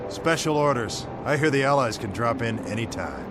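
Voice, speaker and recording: An actor playing a confident, dryly humorous American bomber captain in a wartime radio drama. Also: male voice, medium pitch, expressive, normal speed, noisy background, natural voice. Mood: easygoing, wry.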